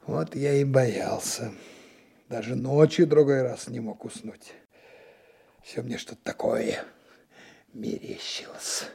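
Unhurried gruff, kindly police detective. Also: male voice, medium pitch, warm tone, heavily acted. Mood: reminiscing. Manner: folksy, avuncular, unhurried. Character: gruff, kindly senior police detective mentoring young trainees. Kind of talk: Soviet radio drama acting.

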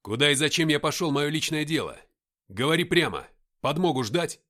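Man acting a gruff army captain barking loudly. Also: on the high side, animated, moderate pace, voice pushed up. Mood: firm, urgent. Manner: barking, forceful, blunt. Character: gruff, commanding army captain shouting orders in battle.

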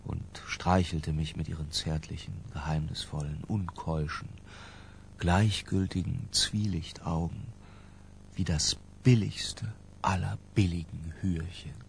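An actor playing an obsessed, cultured middle-aged narrator in a literary radio drama, lyrical and intimate. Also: male voice, deep voice, steady tone, slow pace, creaky, a little hiss or hum, exaggerated voice. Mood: entranced, yearning.

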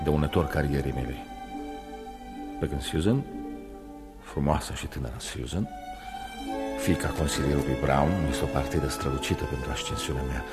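A very deep, suave young man in a radio play, ambitious and calculating yet sentimental. He speaks in measured, wooing phrases. Music plays clearly behind him. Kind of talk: radio theatre acting with interior monologue.

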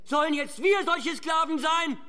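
Strident, high-pitched male agitator. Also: a little slow, bright, forceful tone, full character voice. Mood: rousing, angry. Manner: declamatory, sarcastic. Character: scornful village rabble-rouser opposing the dike master. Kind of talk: radio drama oratory.

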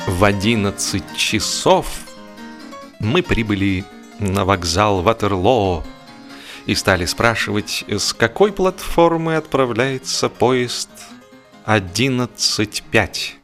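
A low-voiced man reads a comic literary tale as an audiobook narrator. His delivery is measured and expressive, with mock-solemn irony, and faint music plays along. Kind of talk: humorous scripted literary narration.

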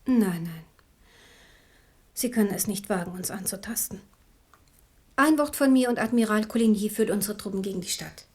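A woman's voice: mid-range voice, moderate pace, long pauses, a little mellow, lightly acted. A woman as a grave, resolute queen mother. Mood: grave, warning. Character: proud, devout queen mother, embittered and resolute. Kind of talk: German radio play acting.

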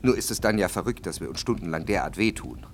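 Guy acting formal anguished lover, lowish voice, insistent, radio play, faint noise behind. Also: fast, close to an everyday voice. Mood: persuading. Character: anguished, controlling gentleman lover, formal and evasive. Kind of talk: radio drama dialogue.

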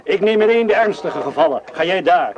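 A commanding army surgeon in a radio drama. His voice sits high for a man, and he gives curt, impatient orders. There is faint noise on the recording. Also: expressive, brisk, lo-fi sound. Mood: stern, pressured. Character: brusque commanding army surgeon in a wartime field hospital. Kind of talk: Dutch radio play acting.

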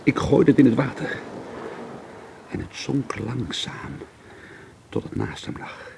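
An actor playing a brooding, secretive alcoholic man who narrates a radio drama in a measured, dramatic way. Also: medium pitch, big pitch swings, gravelly, darkish tone, a little hiss or hum, full character voice. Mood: brooding, tense. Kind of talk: radio drama acting with first-person narration.

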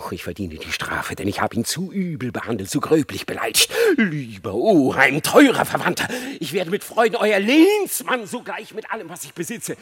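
An actor voicing a cunning, boastful male fox in a radio drama, theatrical, wheedling and husky. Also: medium pitch. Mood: despairing. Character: sly, boastful male fox, cunning flatterer fighting a wolf. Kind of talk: classic German radio drama acting, verse.

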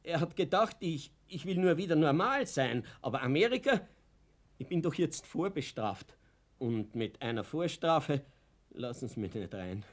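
An old father in a radio drama, his fairly high voice leaping up and down as he spins his tale. He is a naive, crafty underdog, excitable and long-suffering. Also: normal speed. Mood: crestfallen, halting. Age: older. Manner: excitable, storytelling, swinging from chuckling to hushed. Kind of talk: German-language radio play acting.

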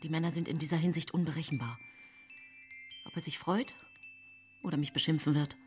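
A woman's voice: deep voice, brisk, soft background music, natural voice. An actress playing a clinging, scheming mistress in her thirties, pleading and intense, in a radio drama. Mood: anxious, plotting.

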